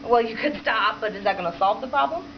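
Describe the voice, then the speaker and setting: A woman primary school teacher reading a picture book aloud to children and pausing to prompt them with questions. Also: fairly high pitch. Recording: dull lo-fi sound with clear background noise.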